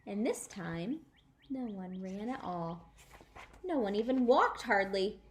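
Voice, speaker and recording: A woman storyteller reading a children's book aloud in an animated, emphatic way. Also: mid-range voice, takes it slowly, a little hiss or hum. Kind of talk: expressive children's book read-aloud in a child narrator's words.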